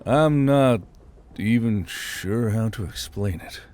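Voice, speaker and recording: A man's voice: fairly low pitch, takes it slowly. A man voicing an exhausted, terse and sardonic military commander in a fantasy audiobook. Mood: weary. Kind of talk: audiobook character voice. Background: soft background music.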